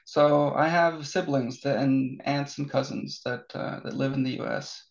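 A man with an American accent and a gravelly, creaky voice, explaining things in a webinar interview. He stops and restarts often, filling the gaps with ums. The audio is dull and lo-fi. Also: mid-range voice, steady tone. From born in Seattle, raised in Norway. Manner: halting, many restarts and fillers. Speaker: guest expert.

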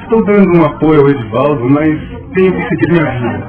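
A man's voice: fairly high pitch, animated, fast, recorded from a distance, crowd noise, natural voice. A man with a Brazilian accent acting a polite, earnest suitor in an old radio soap. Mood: matter-of-fact. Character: Vicente, polite, earnest male sports teacher courting a woman. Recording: narrow, tinny old radio recording. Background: music.

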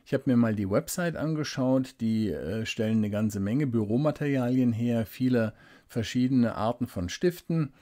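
Man, casual desk unboxing reviewer, mellow low-key voice, relaxed and unhurried, thinks aloud. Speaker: fountain pen reviewer. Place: indoors at a desk, hands-only camera. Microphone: studio desk microphone.

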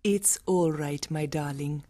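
Gentle, reassuring mother, voiced by a woman. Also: lowish voice, animated, takes it slowly. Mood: tender, relieved. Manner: soothing, tender. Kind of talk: dramatised audiobook dialogue.

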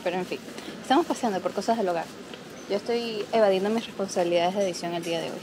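A woman in her late twenties with a Venezuelan accent chats playfully to a camera held at arm's length. Her teasing vlog talk sits at a middle pitch. Steady background noise runs under her voice. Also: fast talker. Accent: Venezuelan Spanish. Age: late 20s. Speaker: vlogger. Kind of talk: casual vlog chat to camera. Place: shop aisles, then a small living room. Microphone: handheld camera at arm's length.

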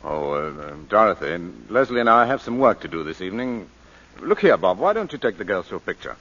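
An actor playing a serious, guarded male lawyer, speaking in a measured and earnest way in 1940s radio acting style. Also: on the low side, very animated, fairly bright tone, exaggerated voice. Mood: brisk. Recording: dull old radio broadcast, faint hiss.

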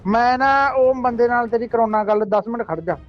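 A man's voice: very high voice, brisk. A man with a Malwai Punjabi accent talking casually on a recorded phone call, giving insistent, repeated instructions. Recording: dull lo-fi recorded phone call, faint music.

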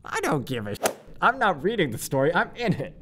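A man's voice: a little high, wildly swooping pitch, fairly quick, background noise. A man bantering casually as a voice actor, swearing with mock indignation. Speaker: amateur voice actor. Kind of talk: casual banter in a recording session.

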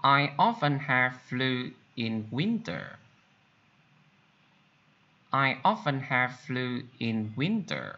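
Slow, lively Vietnamese-accented male teacher. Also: mid-range voice, highs cut off. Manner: deliberate, repeats phrases for learners to copy. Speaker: English teacher. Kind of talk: slow bilingual drill, repeating each sentence.

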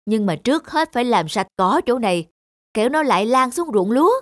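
A man's voice: very high voice, expressive, brisk, slight background noise. A man playing a hard-working, hopeful middle-aged rice farmer in an earnest, plain-spoken cartoon folk-tale style. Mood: pleased, determined.

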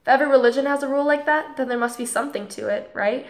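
A woman playing an earnest, principled student girl in a scripted drama, explaining things in a measured, preachy way. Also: sits high. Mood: calm, earnest. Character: earnest, tolerant, principled transgender girl student named Holly. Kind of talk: scripted audio drama dialogue, speech-like monologue.

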